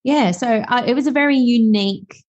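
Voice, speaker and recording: A woman's voice: on the high side, moderate pace, darkish tone, no room sound. A woman in her thirties from South Africa with an Australian accent, speaking as an interview guest in a reflective way, with frequent fillers. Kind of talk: podcast interview. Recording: dull, dry sound.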